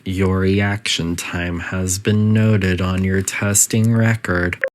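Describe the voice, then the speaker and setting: A man voicing a condescending, passive-aggressive computer that runs a testing facility in a video game, with a measured delivery. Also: low-pitched, level tone. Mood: clinical, instructive. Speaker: test chamber announcer and antagonist. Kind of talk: scripted video game voice lines.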